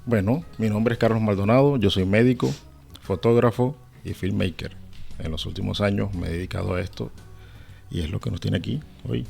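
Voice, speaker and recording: A man's voice: fairly low pitch, normal speed, gravelly, a little mellow, soft background music. A man with a Caribbean Colombian accent from Cartagena, co-hosting a conversational podcast. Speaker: podcast co-host. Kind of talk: casual podcast chat with scripted intro.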